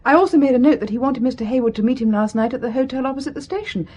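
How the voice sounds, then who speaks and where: An actress playing a timid, deferential and inquisitive secretary in a radio spy thriller. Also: female voice, high voice, very fast, darkish tone, breathy edge. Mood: helpful. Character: timid, polite female secretary, easily alarmed.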